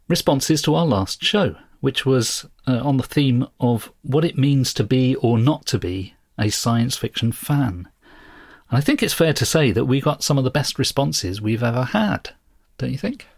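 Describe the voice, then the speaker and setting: A man co-hosting a podcast in a casual, measured and thoughtful chatting style. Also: mid-range voice, breathy edge, no room sound, clean studio sound. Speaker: podcast co-host.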